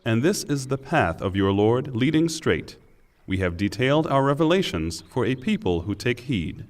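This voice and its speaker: A man narrating a scripted scripture translation in a measured, formal and solemn manner. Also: mid-range voice, very animated. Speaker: narrator reading Quran translation.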